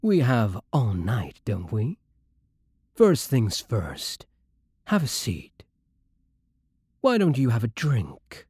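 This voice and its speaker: A man's voice: mid-range voice, warm tone, breathy edge, dry recording, exaggerated voice. A man playing a suave, sadistic vampire lord in an intimate ASMR roleplay, courtly, teasing and menacingly polite. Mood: teasing, unhurried.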